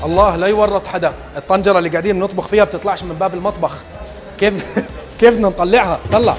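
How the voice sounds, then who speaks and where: A young man hosting a cooking vlog, talking to viewers in a brisk, excitable, joking way. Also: high-pitched. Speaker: cooking show host. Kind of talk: casual on-location cooking vlog narration. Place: busy event venue with a large outdoor cooking area. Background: background music.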